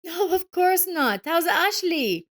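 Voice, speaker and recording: A woman's voice: extremely high pitch, sing-song, takes it slowly, fairly bright tone, voice pushed up, heavily acted. A woman voicing a sneering, condescending middle-aged ex-wife with a mocking, haughty manner in an audio story.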